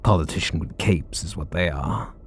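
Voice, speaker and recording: A man's voice: extremely low pitch, moderate pace, breathy edge, heavily acted. A man playing a brooding, sardonic super villain confessing hidden devotion in an ASMR roleplay audio drama. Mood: bitter, angry.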